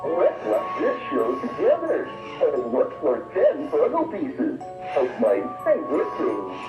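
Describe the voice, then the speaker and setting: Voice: medium pitch, huge pitch swings, takes it slowly. An actor voicing a friendly, childlike talking dinosaur toy in a children's product. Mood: cheerful. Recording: dull echoey toy demo, music.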